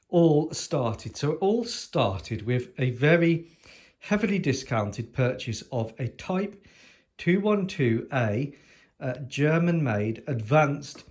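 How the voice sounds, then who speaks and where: A sniffly man, stuffed up with hay fever, talks through his scale models at home. His pitch sits in an everyday middle range. He rambles along casually, with plenty of ums and a dry sense of humour. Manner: chatty and rambling, with many ums and dry jokes. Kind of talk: casual show-and-tell of a model collection.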